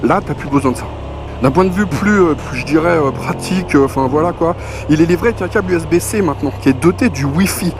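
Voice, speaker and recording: Higher-pitched man, casual reviewer, music behind. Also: fast talker. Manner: colloquial, conversational, punctuated with slang and spoken sound effects. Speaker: motorcycle gear reviewer, presenter. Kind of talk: casual spoken product review. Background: clear background music.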